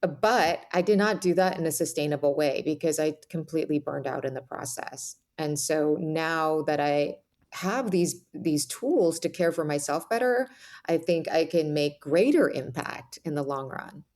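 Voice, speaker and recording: American woman on a podcast, kinda low voice, earnest, explains stuff and keeps checking in like right?. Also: animated, measured pace, a little mellow. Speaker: podcast guest, author. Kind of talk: reflective interview conversation.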